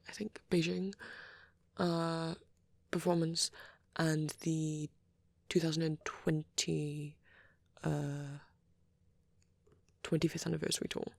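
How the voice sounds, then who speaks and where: A performer voicing a shy, bashful, nerdy young male vampire boyfriend in a soft, hesitant, rambling ASMR roleplay. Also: mid-range voice, takes it slowly, gravelly, long pauses, no echo. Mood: shyly enthusiastic rambling. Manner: hesitant, stammering, apologetic, excitable when rambling.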